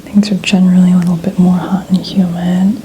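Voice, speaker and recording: A woman speaking in a soft, breathy, often whispered ASMR style, gently explaining a hairstyling routine step by step. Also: on the low side, little pitch movement, a little fast, a little hiss or hum. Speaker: ASMR host playing hairstylist.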